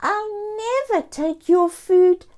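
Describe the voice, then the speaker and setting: A woman's voice: extremely high pitch, slow pace, a little mellow, voice pushed up. A woman voicing a selfish, hot-tempered female storybook cat with dramatic exclamations. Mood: apologetic.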